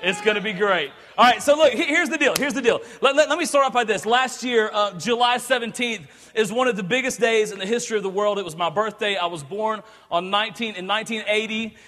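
Man in his thirties, bright high voice, energetic sermon, stammering repeats, long hall reverb. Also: quick pace. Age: 30s. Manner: excitable, joking, stammers and repeats words. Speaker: pastor. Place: large church hall.

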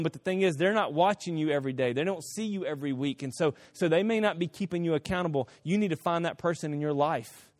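A middle-aged man preaching a sermon, telling stories in an animated way, rising to emphatic peaks and dropping to hushed asides. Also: on the high side. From Central America. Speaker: pastor.

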